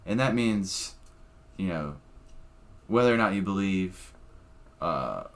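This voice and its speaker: Brief: comedian guest, blunt and opinionated, swears freely, lowish voice. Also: male voice, animated, slow pace. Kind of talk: casual podcast chat.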